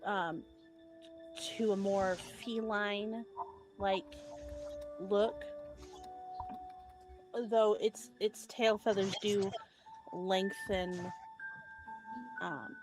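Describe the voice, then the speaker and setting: A woman's voice: mid-range voice, steady tone, drawn-out words, long pauses, over music. A woman playing a tabletop game, describing her character's familiar in a chatty way with lots of ums and likes. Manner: chatty, many ums and likes, laughs often. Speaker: player.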